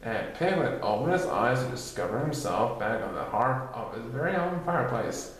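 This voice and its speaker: A man's voice: medium pitch, big pitch swings, normal speed. A man narrating a children's storybook expressively, recorded in a very echoey room. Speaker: storybook reader.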